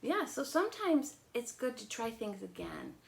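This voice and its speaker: A woman hosting children's storytime, telling stories and chatting with young listeners in an expressive, encouraging way. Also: fairly high pitch, moderate pace, creaky. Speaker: children's storytime host and teacher. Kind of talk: animated storytelling and chat for children.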